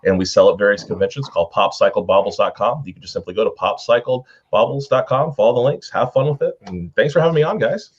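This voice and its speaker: Husky man, lively, slightly dull audio. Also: fairly low pitch, quick pace, few pauses. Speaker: panel guest. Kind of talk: casual chat.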